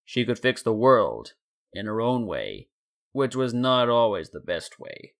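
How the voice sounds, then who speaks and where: Man, narrator, medium pitch, dramatic scripted storytelling, audiobook-style fiction reading. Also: very animated, a little slow, vocal fry. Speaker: narrator and fan fiction reader.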